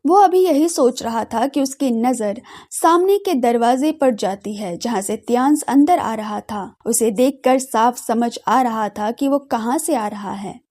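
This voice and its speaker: A woman narrator reading a scripted audio drama. Her voice is fairly high with a mellow, soft tone. She tells the story expressively. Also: measured pace, hardly any pauses.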